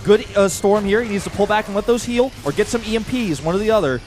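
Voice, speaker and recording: A young man casting a strategy game live, excitable and rising sharply when the fighting gets big. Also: sits high, quick pace. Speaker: esports caster. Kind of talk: play-by-play commentary. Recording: commentary over loud game music.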